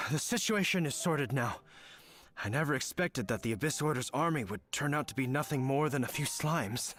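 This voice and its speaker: An actor playing a flustered, deferential knight in a video game, hesitant and politely suspicious. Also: male voice, mid-range voice, animated, moderate pace, a bit bright, natural voice. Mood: relieved. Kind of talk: video game character acting. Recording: game music behind.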